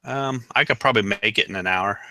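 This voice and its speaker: A man's voice: lowish voice, fast, pushed and bright, slight background noise. A man from Ohio chatting casually, hesitant and full of ums and uhs. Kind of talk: casual group chat.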